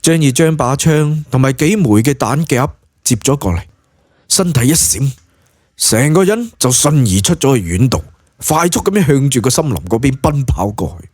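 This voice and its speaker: Male Cantonese audiobook narrator, mid-pitched, animated dramatic read, dry studio-like sound. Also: normal speed, slightly rough, no room sound. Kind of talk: dramatic scripted story narration.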